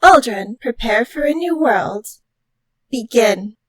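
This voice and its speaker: A woman voicing a formal game system that guides new players with measured announcements. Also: fairly high pitch, expressive, a bit bright, dry recording, exaggerated voice. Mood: formal, solemn. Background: faint music.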